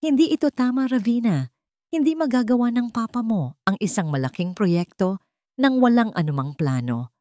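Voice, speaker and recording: A woman voicing a gentle, concerned and protective man in a dramatic audio story. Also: on the high side, animated, normal speed, no room sound, clean studio sound, pitched above the performer's own voice, natural voice. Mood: concerned, indignant. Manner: gentle, earnest.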